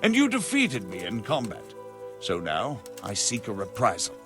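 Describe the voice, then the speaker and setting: An actor playing a proud, formal challenger in a medieval video game, with a courtly, deliberate manner of speaking. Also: male voice, lowish voice, huge pitch swings, over music. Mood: challenging.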